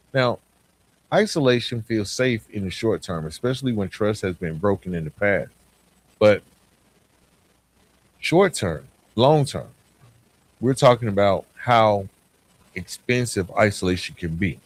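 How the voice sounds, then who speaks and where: Low-voiced man with lively, swooping delivery. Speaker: motivational podcast host. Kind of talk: motivational monologue, conversational.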